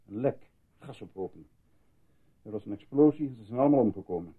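An actor playing a controlling, evasive male doctor in a radio drama, speaking formally in a husky, patronising voice. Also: fairly low pitch, expressive. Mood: calm, evasive. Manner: formal, patronising, measured reassurance. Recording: muffled lo-fi old radio recording.

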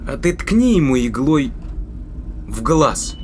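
An actor playing a cold, calculating spaceship crewman in an audio drama, speaking in a deliberate, commanding way with a cruel streak. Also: mid-range voice, very animated, darkish tone, slight background noise. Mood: sinister, intent.

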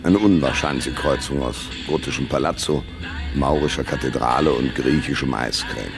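An actor playing a cynical, wisecracking private detective in a 1970s radio noir parody, dry and laconic. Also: male voice, extremely low pitch, normal speed, music in the background. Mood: sardonic narrating. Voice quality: gravelly. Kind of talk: 1970s radio drama noir parody, first-person narration and dialogue.

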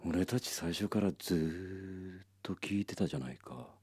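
A man's voice: low voice, normal speed, a little mellow, breathy edge, no room sound. An actor playing a down-to-earth, concerned young man in a radio drama. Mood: matter-of-fact.

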